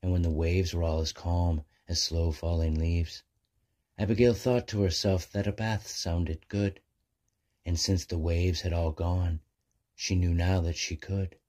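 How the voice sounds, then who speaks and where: Deep husky guy reading a rhyming bedtime story for kids. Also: flat delivery, unhurried, warm tone.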